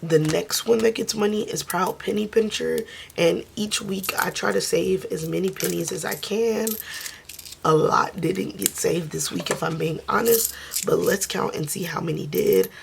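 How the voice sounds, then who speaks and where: A woman who is losing her voice speaks softly and casually, counting money aloud in a budgeting vlog. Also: moderate pace, gravelly, a little hiss or hum. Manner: soft, low-key, counting under her breath. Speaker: budgeting vlogger.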